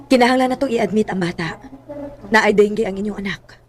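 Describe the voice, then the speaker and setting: A woman's voice: mid-range voice, fairly quick, lightly acted. A woman with a Cebuano accent voicing a young wife and mother in a radio drama, talking in an emotional, exclamatory way. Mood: worried. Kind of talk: amateur radio drama acting.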